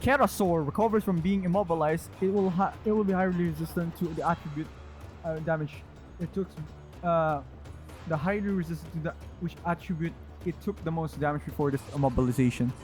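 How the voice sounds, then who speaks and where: High-pitched man commenting on a game. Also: brisk, a little mellow. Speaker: gameplay streamer. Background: game music.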